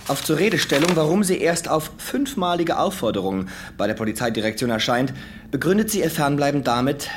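Formal police clerk, noisy recording. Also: male voice, a little high, very animated, a little fast. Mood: officious. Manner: formal, bureaucratic. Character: formal male police official reading a report. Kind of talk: dramatized file reading in an audio documentary.

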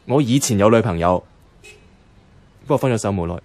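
A man's voice: fairly low pitch, lively intonation, a little fast, a little mellow, dry recording. A man playing an easygoing, teasing office colleague in a Hong Kong Cantonese radio drama, casual and coaxing. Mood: casual, probing.

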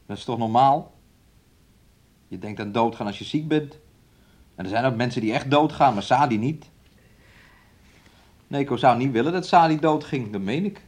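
An actor playing a domineering, resentful young fiancé in a radio play, with a taunting, needling way of talking. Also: male voice, mid-range voice, lively intonation, moderate pace, pauses often, darkish tone, natural voice. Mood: belittling. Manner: speaks of himself and her in third person, taunting.

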